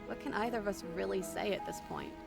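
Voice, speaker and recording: A woman's voice: mid-range voice, huge pitch swings, fast, gravelly, over loud music. A woman voicing a bitter, distrustful captive princess in a horror game, with brooding, theatrical delivery. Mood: weary, hurt. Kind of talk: video game voice acting.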